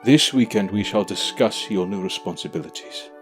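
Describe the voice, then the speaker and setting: A man playing a weary, gentle old king in an audio drama, speaking in a formal, measured way. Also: lowish voice, soft background music, close to an everyday voice. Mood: tender, resigned. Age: older.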